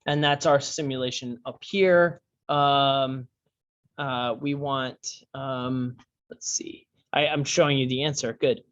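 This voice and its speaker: Halting male teacher, dull lo-fi sound. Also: mid-range voice, fairly even intonation, fairly bright tone. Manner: halting, many fillers and restarts. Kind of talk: screen-share class lesson.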